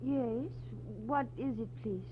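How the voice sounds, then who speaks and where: A woman playing a melodramatic, clinging kept woman with an exotic air in 1940s radio drama, halting and theatrical. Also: a little high. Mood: wary. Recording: dull, noisy old radio recording with hum.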